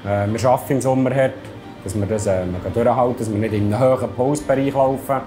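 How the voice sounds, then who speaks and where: Schweizer Mann mit Schweizerdeutschem Akzent. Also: male voice, medium pitch, fast talker, over music. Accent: Swiss German. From Switzerland. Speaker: ice hockey referee. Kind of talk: sponsored video voice-over and interview.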